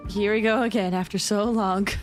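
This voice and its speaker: A woman's voice: medium pitch, fairly even intonation, normal speed, faint music behind. A woman live-streaming a game, chatting in reaction to it and reading dialogue choices aloud.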